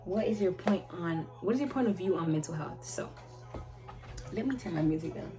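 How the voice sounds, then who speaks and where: Young woman, twenties, casual vlog chat, rambling and halting, lowish voice, loud music behind. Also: lively intonation. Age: 20s. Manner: rambling, halting, self-correcting, trails off often. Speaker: vlogger answering viewer questions.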